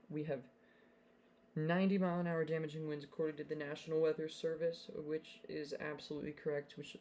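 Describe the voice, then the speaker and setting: A man's voice: on the high side, dark tone, slight background noise. A young man hosting a live weather stream, talking casually with fillers and giving urgent storm warnings from his home setup. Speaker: livestream weather host. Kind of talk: live storm-coverage commentary.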